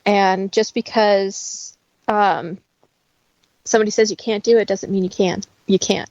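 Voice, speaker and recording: A woman's voice: medium pitch, fairly even intonation, measured pace, darkish tone, dry recording. Woman explaining things, dry muffled audio. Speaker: guest, preparedness skills teacher. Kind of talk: casual conversational explaining.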